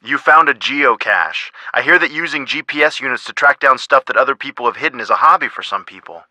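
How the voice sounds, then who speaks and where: Calm, sensible big-brother detective, bright voice, dry wit. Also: male voice, mid-range voice, fairly quick, no room sound, rough recording. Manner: dry, level-headed, deadpan teasing.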